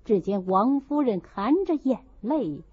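A woman reading a classic novel aloud as an expressive audiobook narrator. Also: on the high side, measured pace, slightly rough, phone-quality sound. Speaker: audiobook reader.

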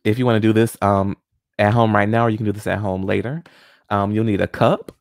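A man's voice: fairly low pitch, brisk, creaky, no echo, studio quality. Man explaining a science demo. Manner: friendly, explains step by step. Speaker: guest scientist demonstrating experiment. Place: small home room. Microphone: studio condenser mic with pop filter and headphones.

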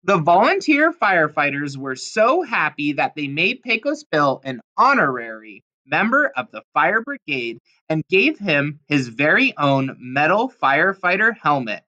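A woman's voice: low-pitched, big pitch swings, measured pace, hardly any pauses, bright, forceful tone, dull audio. A woman reading a children's storybook aloud expressively, with dramatic emphasis on key words. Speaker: storybook reader.

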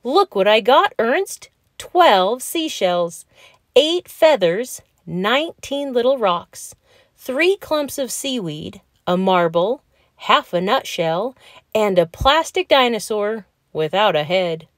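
A woman's voice: medium pitch, big pitch swings, slow pace, dry recording, clean studio sound, voice pushed up. A woman voicing a practical, bossy young male crocodile, a skeptical friend in a children's storybook, speaking emphatically. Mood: boastful.

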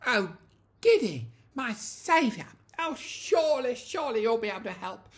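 Overwrought young lord in a comic storybook, very high and swooping, pleading. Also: male voice, sing-song, moderate pace, fairly bright tone, heavily acted. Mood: anguished. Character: anguished, peculiar teenage aristocrat boy.

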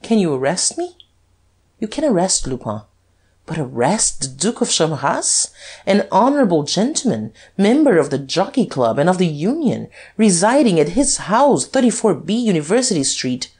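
A suave aristocratic gentleman from a storybook, put on by a woman narrator. His voice is husky and pitched up from the narration. He is coolly superior, scornful and teasing. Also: animated, unhurried. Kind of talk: dramatized audiobook reading.

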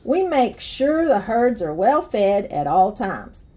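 Woman as a courteous, animated furry cowboy monster. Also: medium pitch, slow.

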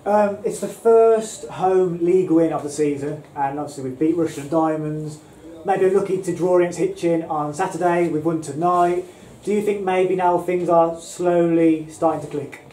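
Mellow-voiced male club interviewer. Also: on the high side, normal speed. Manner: opens questions with um. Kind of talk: post-match interview questions. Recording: echoey room with light hiss.

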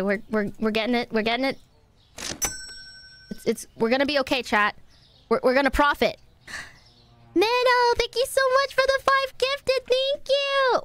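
A young woman chatting casually through a live game stream with a fairly high voice. She grumbles and jokes at her viewers, playful and quick to get exasperated. Her talk stays loose and unscripted. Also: a bit bright, a little hiss or hum. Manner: playful, easily exasperated, talks to chat.

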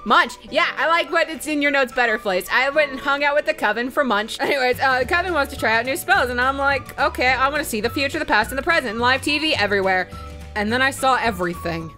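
A woman's voice: high voice, fast talker, pushed and bright. A woman telling a story casually as a let's play host, giggly, slangy and rambling, acting out bits of dialogue as she goes, with music behind her. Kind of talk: animated casual storytelling recap.

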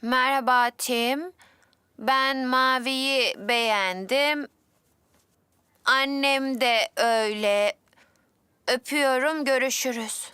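A woman's voice: sits high, steady tone, takes it slowly, long pauses, pushed and bright, clear, resonant tone, no room sound, exaggerated voice. A woman voicing a polite, curious little boy in a Turkish children's dub. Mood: cheerful.

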